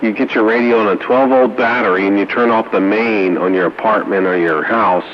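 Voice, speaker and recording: A man's voice: lowish voice, fairly even intonation, a little fast. A man chatting casually on two-way radio, trading banter and advice. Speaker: radio operator. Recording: dull two-way radio with hiss.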